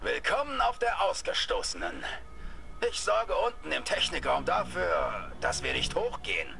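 A man playing a gruff, wry rogue schemer in a space video game, with a gravelly, folksy, sardonic delivery. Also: fairly high pitch, huge pitch swings, normal speed, sharp tone, full character voice. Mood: wry, casual.

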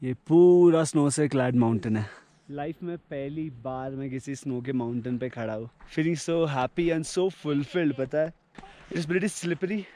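A young man talking to a handheld camera outdoors and from a car, chatty and excited as he shows the road to viewers. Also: fairly high pitch, measured pace, a little hiss or hum. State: short of breath at high altitude. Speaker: travel vlogger. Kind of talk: casual travel vlog, Hindi mixed with English. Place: outdoors on snowy mountain road and inside a car. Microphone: handheld camera at arm's length.

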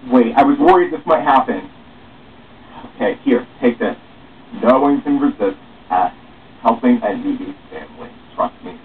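Brash boastful male panhandler, acted by a man reading, casual, coaxing, lively pitch, muffled phone-like recording. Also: moderate pace, long pauses, close to an everyday voice. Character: brash, cocky male veteran panhandler, streetwise slacker. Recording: muffled phone-like webcam, distant mic, faint hiss.

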